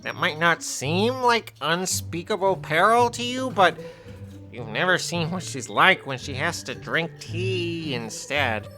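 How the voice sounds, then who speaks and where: A woman playing a dry, knowing, loyal assistant with a deadpan manner in a comedic audio drama. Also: on the low side, measured pace, soft background music.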